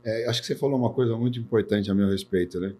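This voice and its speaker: A man's voice: fairly low pitch, rapid-fire, warm tone, slight background noise. A man in his forties from Brazil, an insurance executive talking earnestly in a podcast interview and often adding "né". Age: 40s. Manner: earnest, repeats words, tags sentences with "né".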